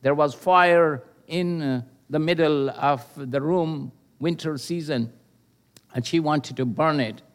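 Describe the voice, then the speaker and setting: Older guy preaching, slow and careful, kinda high voice, lots of uh pauses. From Pakistan. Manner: earnest and measured, with pauses and hesitations. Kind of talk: sermon with personal testimony.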